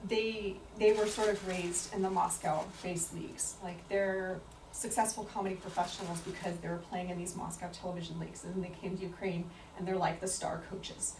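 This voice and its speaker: A woman's voice: lowish voice, little pitch movement, normal speed. A woman answers an audience question at a book talk, thinking aloud and restarting her sentences often. She sounds set back from the microphone in a reverberant room. A faint hiss sits under her voice. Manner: thoughtful, many fillers and restarts. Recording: echoey room from far off with faint noise.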